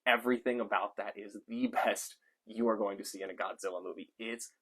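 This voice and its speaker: Enthusiastic low-voiced man reviewing a film. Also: steady tone, a little fast, fairly bright tone, breathy edge. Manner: enthusiastic, rambling with frequent uh fillers. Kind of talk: casual unscripted movie review.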